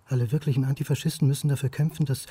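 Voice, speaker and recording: A man's voice: medium pitch, fairly even intonation, racing, mellow, husky, lightly acted. An actor playing an uneasy, wry male academic in a radio crime drama. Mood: halting, troubled. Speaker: radio drama lead and first-person narrator.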